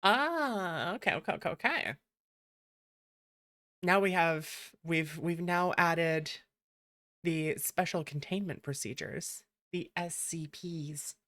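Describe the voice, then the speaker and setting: A woman hosting a livestream, chatting casually in an animated, teasing way with some swearing. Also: fairly low pitch, slow. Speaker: livestreamer, VTuber host.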